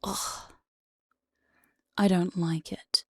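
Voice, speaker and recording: A woman playing an evil, playful demon jailer in an ASMR roleplay, breathy and often whispering, with a sly, mock-sweet menace, close on the microphone. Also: lowish voice, little pitch movement, extremely slow, a little mellow, no echo, exaggerated voice. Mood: gloating, scheming. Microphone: close binaural studio microphone, dry.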